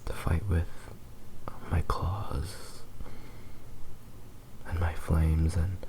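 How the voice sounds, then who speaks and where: A man playing a possessive, doting kitsune fox boy in a slow, tender, intimate whispered ASMR roleplay. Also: low voice, little pitch movement, noisy background. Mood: protective, gentle.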